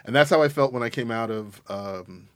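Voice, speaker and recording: A man's voice: mid-range voice, normal speed, a bit bright, dry recording. Flamboyant, exclamatory man hosting a chat. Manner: flamboyant, exclamatory, theatrical asides. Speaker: host. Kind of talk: casual chat. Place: studio.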